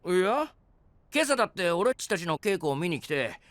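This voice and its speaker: A plain-spoken, friendly young knight in an anime voice drama. His speech is breezy and casual with very lively intonation, recorded dry and close. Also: high voice, normal speed, no echo. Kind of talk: Japanese anime voice drama acting.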